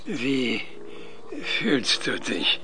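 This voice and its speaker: A man acting in a radio play as a calm, controlling scientist with a husky, gravelly voice and a measured, deliberate way of talking. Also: medium pitch, a little hiss or hum, heavily acted. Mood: calm, probing. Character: calm, controlling male scientist interrogating an artificial mind. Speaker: radio drama actor.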